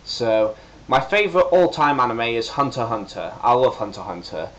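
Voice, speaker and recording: A man's voice: medium pitch, big pitch swings, fairly quick, a bit bright. A young man talking eagerly on a webcam about his favorites, thinking aloud as he lists them. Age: young adult. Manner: enthusiastic listing, thinking aloud. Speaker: fan voice actor. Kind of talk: casual webcam interview answer. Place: living room indoors.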